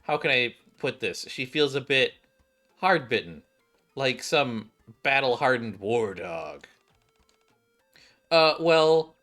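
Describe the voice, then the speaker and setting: A man's voice: lowish voice, fairly bright tone. A man hosting a gaming video, commenting casually and reading dialogue aloud. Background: faint game music.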